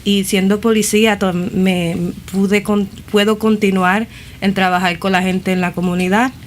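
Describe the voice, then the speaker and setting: A woman from Puerto Rico, now a police officer, answers interview questions. She speaks haltingly, repeating words and slipping in English terms. Faint background hiss. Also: medium pitch, level tone.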